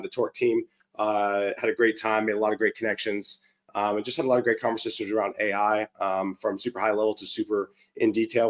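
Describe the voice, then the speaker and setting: Hoarse, chatty man hosting a stream. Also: fairly low pitch. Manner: upbeat, chatty, many fillers and restarts. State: hoarse from a cold. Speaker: livestream show host. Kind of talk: casual live-stream chat.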